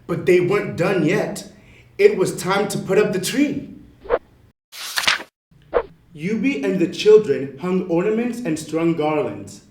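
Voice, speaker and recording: High-voiced man, unhurried storyteller. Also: expressive. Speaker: storybook narrator. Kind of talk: scripted children's story reading. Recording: noisy, roomy, distant mic.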